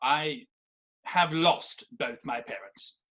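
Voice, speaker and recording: A man playing a polite young Victorian gentleman suitor in a theatrical comedy reading, well-spoken and deferential. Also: a little high, slow. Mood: awkward, confessing. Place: virtual performance from home in isolation.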